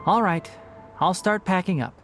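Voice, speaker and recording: A woman's voice: fairly low pitch, normal speed, faint music behind, close to an everyday voice. A woman voicing a composed, reserved young alchemist in a video game, polite and a little dry. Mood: calm, polite. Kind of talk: video game character voice acting.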